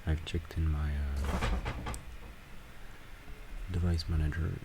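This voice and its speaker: A man talking through a software tutorial step by step, with halting pauses between instructions. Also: extremely low pitch, level tone, very noisy. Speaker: tutorial presenter. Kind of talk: screen-recorded software tutorial.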